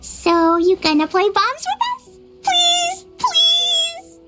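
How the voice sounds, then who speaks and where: A woman voicing an excitable, eager little girl adventurer in a video game, with music behind her. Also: extremely high pitch, extremely slow, full character voice. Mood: pleading. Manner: eager, childlike.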